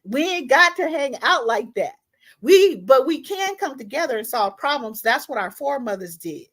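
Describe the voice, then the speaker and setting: A woman's voice: high-pitched, big pitch swings, normal speed, a bit bright. A woman hosting an online talk, speaking emphatically and directly to viewers in an impassioned, informal way. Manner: emphatic, direct address with repeated tag questions. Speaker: online show host.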